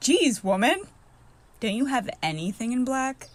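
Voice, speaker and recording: A woman's voice: on the high side, sing-song, measured pace. A woman playing a taunting, playful evil female spirit that possesses people, gloating and teasing in an audio horror drama.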